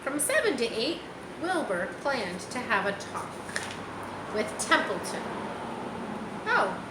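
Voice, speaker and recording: Slow-paced woman reading a children's story, noisy recording. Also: a little high, sing-song. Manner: unhurried, explanatory, talking directly to listeners. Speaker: storybook reader. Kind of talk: read-aloud children's story with friendly asides. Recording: roomy echo, loud vehicle-like background noise.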